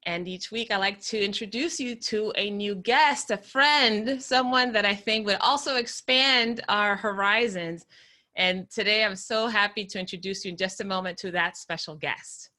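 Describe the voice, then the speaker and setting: A woman hosts an interview podcast in a bright, ringing voice. She speaks slowly and deliberately, then chats easily with her guest over a video call. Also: medium pitch. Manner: slow, deliberate opening, then conversational with laughs. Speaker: podcast host.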